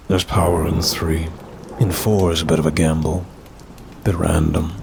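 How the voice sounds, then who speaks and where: A sardonic demon bureaucrat played by a man speaks slowly in a deep voice. He is haughty, dry and tired of mortals, in the style of an ASMR roleplay. Faint rain and thunder sit behind the voice. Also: gravelly, slight background noise, full character voice. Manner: dry, haughty, drawn-out sighing delivery. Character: weary, sardonic male demon, aloof bureaucrat of damnation.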